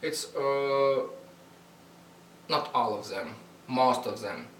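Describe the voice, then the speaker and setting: A young man with a thick Russian accent rambles through a casual vlog, cursing freely and stumbling over his English. His voice sits at a middling pitch. The recording is roomy and a little distant, with a faint hum underneath. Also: a bit bright. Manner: blunt, swears a lot, halting non-native English. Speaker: vlogger. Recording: echoey room, mic at a distance, faint hum.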